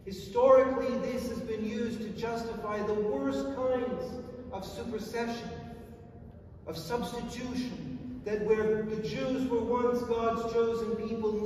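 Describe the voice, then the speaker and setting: A man in his forties, an Orthodox priest, preaching a sermon in a large stone church, measured and emphatic with long pauses. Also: high-pitched, a little mellow, a little hiss or hum. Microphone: far camera. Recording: echoey church from far off.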